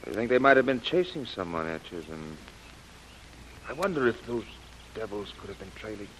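Crisp commanding leader. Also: male voice, lowish voice, big pitch swings, normal speed, fairly bright tone, slight background noise, poor audio. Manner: crisp, authoritative orders. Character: commanding male expedition leader. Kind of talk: 1930s radio acting.

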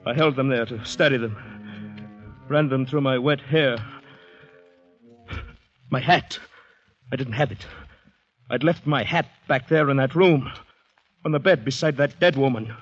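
A man's voice: medium pitch, a little slow, pauses often, lightly acted. An actor playing a terrified, shell-shocked man on the run, narrating urgently in a vintage radio drama style. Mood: panicked, breathless. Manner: dramatic, haunted, urgent delivery. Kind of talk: 1940s-50s radio drama acting, first-person narration. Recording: dull vintage radio recording, music behind.

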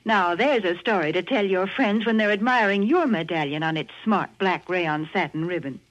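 A woman announcer on a 1940s radio show, chatty, reading a sales pitch. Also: normal speed. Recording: dull, old radio recording.

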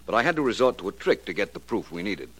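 A cool gentleman detective in an old radio mystery. The voice is fairly low, with very animated intonation and an assured, explanatory manner. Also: quick pace, slightly rough, poor audio. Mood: matter-of-fact. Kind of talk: 1950 radio crime drama acting.

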